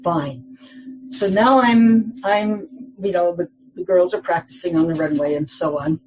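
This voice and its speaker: A woman's voice: on the low side, measured pace, a little mellow, a little hiss or hum, rough recording. A woman in her eighties, a guest telling rambling anecdotes in a casual interview, full of fillers and restarts, often laughing.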